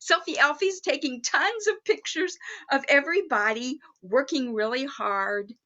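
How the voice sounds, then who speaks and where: Female children's storyteller, very slow and high, sing-song delivery, reassuring asides, slightly dull lo-fi recording. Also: pushed and bright. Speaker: storyteller playing Mrs. Claus, reading a picture book. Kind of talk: children's story time read-aloud with asides.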